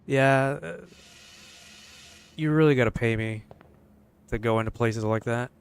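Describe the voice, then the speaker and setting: A man's voice: mid-range voice, measured pace, smooth. A man streaming a horror game, grumbling casually and swearing, wary of what lies ahead. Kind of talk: casual livestream commentary.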